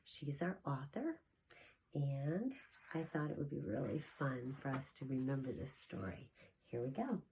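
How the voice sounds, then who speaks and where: A woman preschool teacher reading a story aloud to young children, expressive and deliberate, with a breathy voice that often drops toward a whisper. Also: a bass voice, slight background noise. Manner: addresses children directly, expressive with gasps and sound effects.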